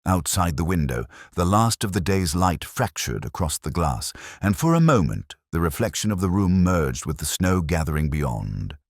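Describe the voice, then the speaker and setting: A man narrating a scripted first-person detective mystery in a solemn, literary, measured way. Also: deep voice, big pitch swings, no echo, clean studio sound.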